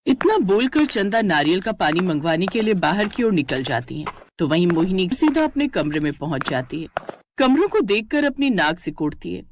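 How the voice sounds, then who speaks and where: A woman's voice: lowish voice, sing-song, moderate pace, noisy background. A woman reading scripted narration for a story.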